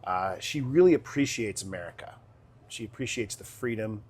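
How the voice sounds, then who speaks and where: Measured middle-aged male podcast guest. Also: lowish voice, lively intonation, darkish tone, husky. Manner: measured, explanatory, filler pauses. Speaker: city council member guest. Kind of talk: interview answers.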